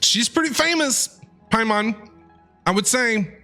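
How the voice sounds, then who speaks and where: A woman game streamer giving casual, chatty live commentary with quick, repeated short reactions. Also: a little high, animated, bright, forceful tone. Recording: game music behind the voice.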